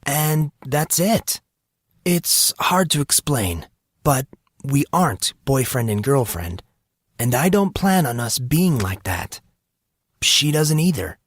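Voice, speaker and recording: Man voicing an exasperated, defensive teenage schoolboy, pitched up. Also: sing-song, slow, dry recording, clean studio sound. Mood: earnest, guarded. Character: defensive, exasperated 14-year-old schoolboy with a secret. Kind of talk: audiobook character voice.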